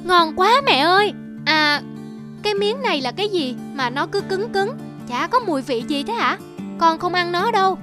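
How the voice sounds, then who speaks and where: A woman's voice: very high-pitched, animated, normal speed, music in the background. A woman playing an innocent, affectionate young boy in a cartoon, chatty and childlike. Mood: curious, excited. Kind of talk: cartoon voice acting.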